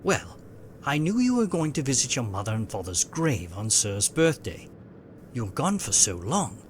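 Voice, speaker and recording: A man's voice: mid-range voice, very animated, normal speed, slight background noise, natural voice. A man playing a protective, dutiful older butler with a formal, proper way of talking in an audio drama. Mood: worried, indignant. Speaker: voice actor.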